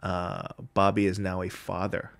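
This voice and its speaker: A man's voice: low voice, flat delivery, moderate pace, vocal fry. A man co-hosting a casual podcast, teasing his friend and leaning on filler words. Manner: playful teasing, lots of filler like. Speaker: podcast co-host. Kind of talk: casual chat.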